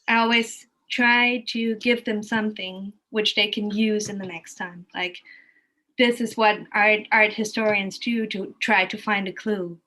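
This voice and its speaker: A woman with a German accent, a native German speaker, casually explaining her work in a halting way as she thinks aloud. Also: medium pitch, steady tone, pushed and bright, poor audio. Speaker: interviewed art educator giving museum tours.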